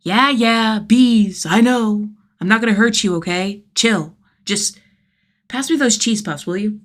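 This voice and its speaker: A woman's voice: mid-range voice, measured pace, pitched above the performer's own voice, close to an everyday voice. A woman playing a sardonic, slangy young male vigilante. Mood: reassuring. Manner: casual, slangy, sweary, teasing. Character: gruff, sardonic young man vigilante, wryly fond of kids.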